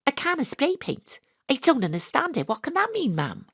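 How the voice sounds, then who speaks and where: A woman's voice: high voice, wildly swooping pitch, normal speed, fairly bright tone, no echo, heavily acted. Wry Welsh lady detective, close-miked. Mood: baffled. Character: brisk, tongue-in-cheek Welsh woman private detective. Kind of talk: comic audio drama acting and narration.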